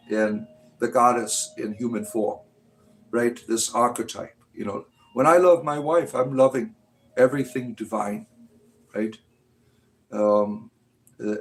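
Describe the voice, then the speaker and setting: A man's voice: medium pitch, rough recording. A man in his late forties hosting a livestream, rambling loosely and reading out viewer comments, often ending with "right?". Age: 40s. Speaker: livestream host.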